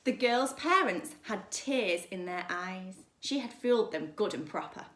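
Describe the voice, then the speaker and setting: A woman reading a children's story aloud like a primary school teacher, dramatically, with emphatic pauses and hushed drops. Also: medium pitch, animated. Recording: roomy, echoing sound.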